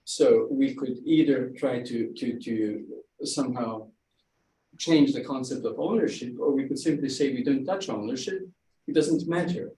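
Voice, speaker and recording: A man's voice: mid-range voice, dark tone. Guy on a research panel, kinda far from the mic, roomy echo, little hiss, stumbles n repeats himself. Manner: halting, repeats words while thinking. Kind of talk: academic panel discussion. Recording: echoey room, distant mic, faint hiss.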